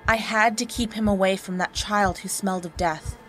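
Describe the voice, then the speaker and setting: A woman's voice: medium pitch, fairly even intonation, moderate pace, fairly bright tone, soft background music, rough recording. A woman reading a scripted first-person horror story in a dramatic narrating style. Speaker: guest narrator of horror stories.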